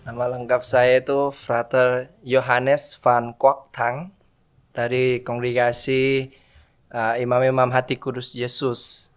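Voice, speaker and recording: A man's voice: medium pitch, completely flat delivery, slow pace, smooth. A man from Vietnam with a Vietnamese accent, answering in a casual interview and often searching for words in a language that is not his native one. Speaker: podcast guest, religious brother studying theology.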